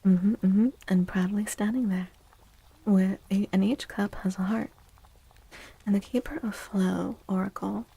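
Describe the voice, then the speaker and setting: Slow, breathy, soft-spoken ASMR woman. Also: mid-range voice, steady tone. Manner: gentle, hushed, unhurried, soothing repetition. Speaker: livestream host reading tarot cards for viewers. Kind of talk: soft-spoken ASMR tarot reading, often whispered.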